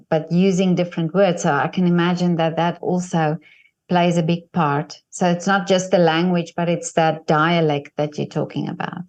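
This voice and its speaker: A woman, Afrikaans-born, interviews her guest in English. Her voice sits fairly low, and she keeps the talk going with steady affirmations and encouraging replies. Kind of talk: interview conversation.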